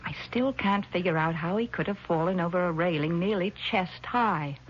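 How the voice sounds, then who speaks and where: An actress playing a composed, doubting sister in a 1970s radio drama, measured, patronizing and patiently insistent. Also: female voice, on the low side, breathy edge. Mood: confiding, concerned. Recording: muffled vintage radio broadcast, faint noise.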